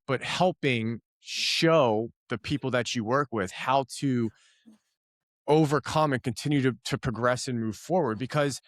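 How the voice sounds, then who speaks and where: A man from Philadelphia hosts a podcast in a mid-pitched, easygoing voice. He asks long, rambling questions and leans on fillers as he works toward his point. The recording is close and dry. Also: a bit bright, no room sound. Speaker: podcast host. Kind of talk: casual conversational interview.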